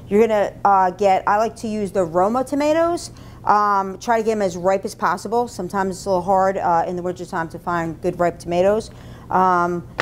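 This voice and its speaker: Casting: middle-aged woman, medium-pitched voice, informal cooking instructor, slight background rumble. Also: a little mellow. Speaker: cooking demonstrator, food and beverage director. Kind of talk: casual cooking demonstration. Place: indoor institutional kitchen. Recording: low rumble, faint room noise.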